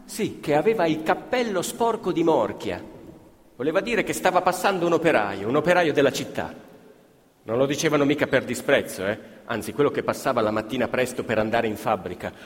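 An actor playing an impassioned working-class storyteller, declaiming theatrically. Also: male voice, medium pitch, lightly acted. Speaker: stage actor and narrator. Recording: live hall with long reverb, music. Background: live music.

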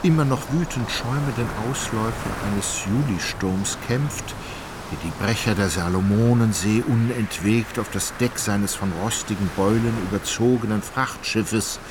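A man's voice: lowish voice, moderate pace, background noise. A man narrating a literary radio drama as scripted storytelling.

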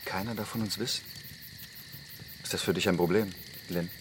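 An actor playing the self-assured young leader of a secretive commune in a radio drama, speaking firmly and deliberately. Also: male voice, low-pitched, fairly even intonation, a little hiss or hum, close to an everyday voice. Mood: firm, welcoming. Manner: deliberate, firm, commanding. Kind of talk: German radio drama, naturalistic acting.

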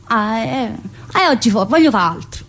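A woman's voice: fairly high pitch, big pitch swings, unhurried, a bit bright, heavily acted. A woman playing a cheeky, needling female prison inmate in a colloquial theatre monologue, with a southern Italian accent and a teasing, familiar manner. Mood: teasing, restless. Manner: teasing, familiar, full of rhetorical questions. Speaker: stage actress performing a monologue. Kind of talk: theatrical monologue in colloquial dialect-tinged speech.

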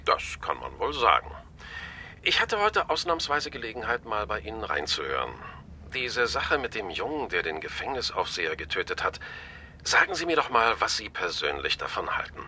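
An actor playing a shrewd media boss in a radio drama, polite but pointed, wry and authoritative. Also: male voice, lowish voice, wildly swooping pitch, moderate pace, sharp tone, a little hiss or hum, heavily acted. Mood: affable, probing. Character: brisk, shrewd male media boss, wryly amused, decisive.

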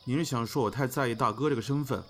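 A man playing a composed, earnest elder brother in a modern audio drama, speaking in a measured, sincere way. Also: mid-range voice, slight background noise, natural voice. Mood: sincere, reflective. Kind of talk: modern Chinese audio drama acting, naturalistic dialogue.

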